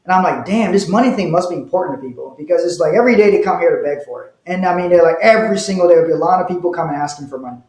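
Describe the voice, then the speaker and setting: High-voiced man in a roomy echo. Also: rapid-fire, few pauses, darkish tone. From Liberia. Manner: laughs while telling stories. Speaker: guest, wealth manager. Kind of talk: casual interview storytelling.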